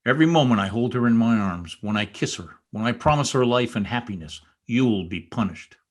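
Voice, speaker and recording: An actor playing a brooding, bitter, vengeful spurned lover in an old-time radio drama, speaking formally and intensely. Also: male voice, medium pitch, expressive, a little fast, lightly acted. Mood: cold, vengeful. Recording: remote video-call recording.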